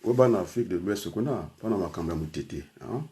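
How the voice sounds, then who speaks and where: A man's voice: low-pitched, expressive, a little fast, warm tone. An older man from Congo, a teacher and trainer, speaking in a rambling personal talk full of hesitations and switches into Lingala.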